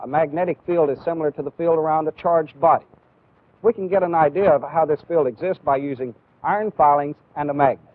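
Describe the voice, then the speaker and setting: An American man giving a methodical, deliberate instructional lecture as a training film instructor. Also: fairly high pitch, no echo. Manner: deliberate, methodical, clearly explanatory. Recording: phone-like, band-limited old training film audio.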